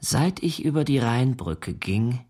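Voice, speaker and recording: An actor playing an anguished, yearning young letter writer in a radio play, reciting poetically and broodingly. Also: male voice, mid-range voice, animated, measured pace, dark tone. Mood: brooding, desolate. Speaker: actor in an audio drama. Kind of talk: literary monologue over music. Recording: radio play with brass music behind. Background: brass and horn music.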